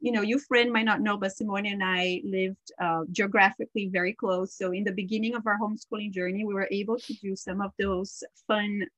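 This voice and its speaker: A woman whose first language is Portuguese, co-hosting a podcast in casual chat, talking freely with many fillers and quick laughs. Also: medium pitch, steady tone, a bit bright, no echo, rough recording. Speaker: podcast co-host.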